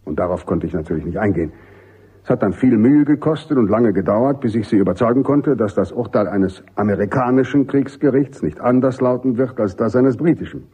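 Army general, male, middle-aged actor: mellow, slightly rough voice, jovial authority, emphatic radio-play delivery. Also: medium pitch, fairly quick, highs cut off, close to an everyday voice. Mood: firm, insistent. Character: commanding American army general, genial but insistent. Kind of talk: German radio drama acting.

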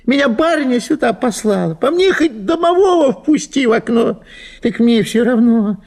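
An actor playing a blustering, teasing gentleman husband in a dramatized story, with a gravelly, husky, scolding voice. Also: very high-pitched, expressive, normal speed, heavily acted. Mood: irritated, dismissive. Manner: scolding and mocking, exclamatory. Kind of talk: dramatized literary short story reading.

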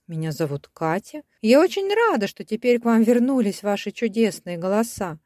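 A woman voicing a polite, quick-witted young girl, the heroine of a fairy tale, in an earnest manner. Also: medium pitch, big pitch swings, mellow, no echo, clean studio sound, close to an everyday voice.

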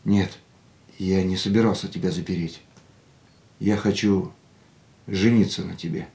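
Jealous, insistent lover, echoey room. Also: male voice, sits low, fairly even intonation, a little slow, gravelly, warm tone. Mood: earnest. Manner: insistent, questioning, earnest. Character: jealous, anxious lover, an aging racing driver. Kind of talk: radio play acting.

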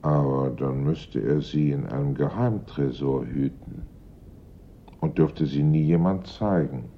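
An actor in a German radio drama playing a dogged police investigator, grave, suspicious and deliberate in his reasoning. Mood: brooding. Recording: dull old radio recording, noisy rumble.

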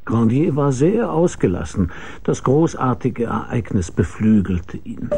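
An actor playing a cynical, world-weary investigator who narrates a radio drama in a dry, laconic, husky voice. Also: male voice, medium pitch, big pitch swings, measured pace, warm tone, full character voice. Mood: dry narration. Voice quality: gravelly, husky. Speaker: narrator and lead character. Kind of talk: hard-boiled radio drama narration and dialogue.

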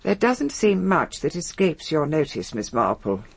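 A bold, titled Englishwoman, middle-aged, with an upper-class accent. Her husky voice swings widely in pitch as she speaks with haughty, daring flair. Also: big pitch swings, a little slow, heavily acted. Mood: haughty, incredulous. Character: bold, haughty aristocratic mother, secretly a crime boss. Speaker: actor in a radio mystery drama. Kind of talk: British radio drama acting.

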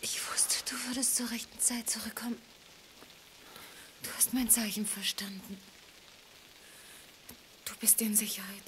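A woman's voice: medium pitch, fairly even intonation, a little slow, long pauses, background noise, close to an everyday voice. An actress playing a devoted, passionate young woman in a radio play, weak and exhausted, speaking breathily and intimately. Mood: relieved, weak.

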